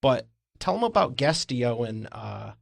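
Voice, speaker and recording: A man's voice: medium pitch, sing-song, a little slow, gravelly, dry recording. Podcast host guy, friendly and encouraging, dry close sound. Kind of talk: interview hosting.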